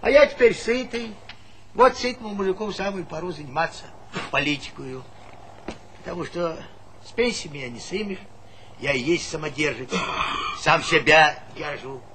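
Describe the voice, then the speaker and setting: Actor as cocky old village grandpa, high-pitched. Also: male voice, expressive, moderate pace, lightly acted. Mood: boastful, defiant. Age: older. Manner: folksy, argumentative, blustering. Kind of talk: theatrical comedy stage acting. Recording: noisy 1970s stage play recording.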